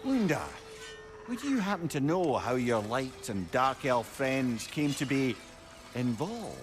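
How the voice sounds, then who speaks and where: A lively, wry Scottish sage. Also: male voice, mid-range voice, slow pace, music in the background. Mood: curious. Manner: wry, articulate, inquisitive. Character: witty, talkative, wry older male sage companion. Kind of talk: dramatic video game acting.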